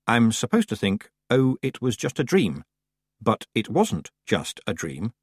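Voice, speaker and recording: A young witch girl acted by a man in an audiobook. She is brisk and insistent, anxious underneath, with highly varied intonation in a dry, intimate recording. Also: huge pitch swings, husky, no room sound, full character voice. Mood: confused, urgent. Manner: forthright, insistent.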